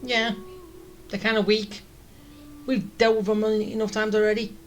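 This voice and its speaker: A woman's voice: medium pitch, little pitch movement, normal speed, slight background noise. A woman streaming a game, casually commenting and answering the characters. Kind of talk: casual commentary and reading along while gaming.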